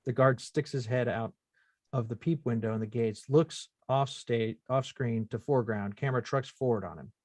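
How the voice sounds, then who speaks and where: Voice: medium pitch, normal speed, darkish tone, no room sound, clean studio sound. A narrator reading out screenplay stage directions as scripted narration at a table read.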